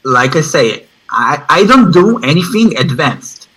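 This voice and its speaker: Male interview guest, Israeli accent, non-native English. Slightly high, bright, forceful voice; informal, emphatic, repetitive for stress. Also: very animated, measured pace, breathy edge, rough recording. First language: not English, which is a third language. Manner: emphatic, repeats phrases for stress. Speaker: interview guest, online advertising student.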